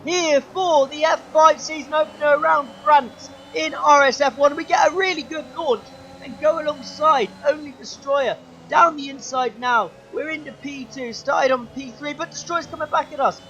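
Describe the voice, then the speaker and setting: Young man, hoarse race commentary. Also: extremely high pitch, normal speed, few pauses, muffled recording, a little hiss or hum. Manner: excitable, shouts at big moments. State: losing his voice. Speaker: sim racing driver commentating his own race. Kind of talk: gaming commentary.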